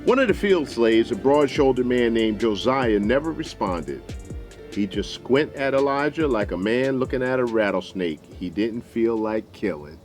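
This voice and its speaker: An American man hosting and telling a story in a deliberate, folksy, dramatic way. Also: medium pitch, animated, darkish tone. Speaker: storyteller, show host. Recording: music behind the voice.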